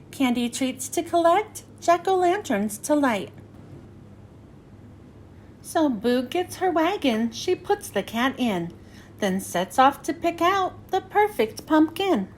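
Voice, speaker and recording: A high-pitched woman narrates a children's picture book. Her delivery is slow and sing-song, swinging widely up and down as she follows the rhymes. There is some noise behind her. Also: a little mellow. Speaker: children's read-aloud host. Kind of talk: scripted storybook narration for children.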